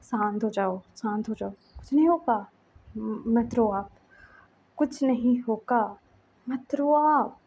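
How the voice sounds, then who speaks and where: A woman's voice: sits high, animated, normal speed, mellow, dull audio, a little hiss or hum. A woman voicing a caring, emotional younger brother in a story, pleading and repeating reassurances. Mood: soothing, pleading.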